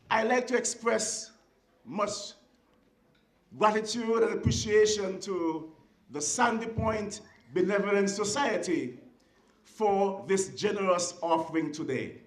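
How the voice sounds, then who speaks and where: A speaker with a Kittitian accent giving a formal ceremonial speech in a deliberate, oratorical way, with emphatic short phrases. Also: medium pitch, a bit bright. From Saint Kitts and Nevis. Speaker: guest speaker at a school ceremony. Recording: echoey venue sound.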